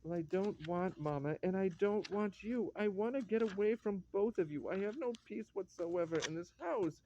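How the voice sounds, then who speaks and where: An actor playing a weak, harried husband in a classic stage drama, exasperated and hesitant. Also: male voice, high-pitched, animated, measured pace, warm tone, dull audio, slight background noise. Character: weak, harried husband, henpecked by his mother.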